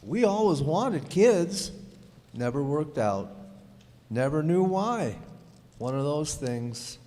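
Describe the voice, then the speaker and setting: A man's voice: on the high side, sing-song, slow pace, mellow. A man playing a kindly, folksy, hesitant husband in a radio drama. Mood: gentle, hesitant. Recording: echoey hall.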